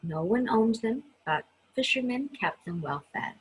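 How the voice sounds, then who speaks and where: A woman reading a children's story aloud in an expressive, chatty style, stressing key words with dramatic emphasis. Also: a little high, a little slow, husky, low-quality recording. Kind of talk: expressive read-aloud with chatty asides to listeners.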